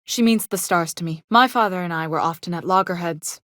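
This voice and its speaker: A woman's voice: lowish voice, fairly quick, fairly bright tone, no echo, clean studio sound, lightly acted. An actress playing a pragmatic, protective woman starship captain and engineer in a video game, speaking in a frank, plain-spoken, wry way. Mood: appreciative, steady.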